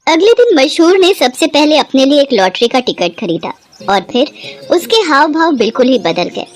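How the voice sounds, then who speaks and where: A woman narrating a scripted moral story in an expressive storytelling manner. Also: high voice, moderate pace, soft background music.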